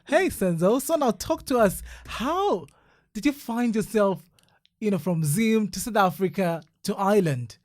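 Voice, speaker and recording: Lively male host, dry sound. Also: high-pitched, a little slow, no echo. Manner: lively, with constant affirming murmurs. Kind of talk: interview.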